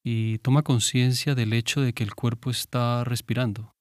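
A man guiding a meditation in a calm, gentle, unhurried manner with pauses. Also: medium pitch, no echo, studio quality. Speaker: meditation guide. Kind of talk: guided meditation.

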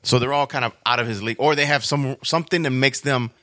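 A man's voice: medium pitch, steady tone, fast, bright, forceful tone, no room sound. A man chatting casually in an informal, rambling storytelling way, as a podcast guest. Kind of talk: casual chat about superhero comics and cartoons.

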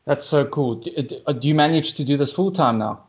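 A man's voice: on the high side, a little fast. A man from South Africa hosting a casual interview, asking questions with enthusiastic curiosity. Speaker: interview host.

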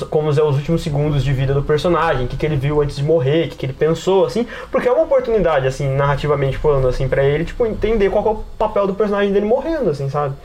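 A Brazilian man talks casually on a podcast in a high voice. He speaks very quickly, piling up slang as he rambles through his explanations. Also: a little mellow. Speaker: podcast panelist and game master.

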